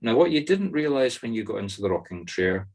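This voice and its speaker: A Scottish man leading a guided meditation on a video call, soothing, with pauses between short, simple instructions. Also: lowish voice, very animated, darkish tone. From Scotland. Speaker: spiritual medium leading a meditation group. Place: online video-call circle.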